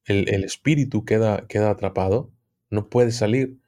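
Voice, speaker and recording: Higher-pitched Mexican man explaining in conversation. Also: dark tone. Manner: halting, repeats words while explaining. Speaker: podcast guest, occultism expert.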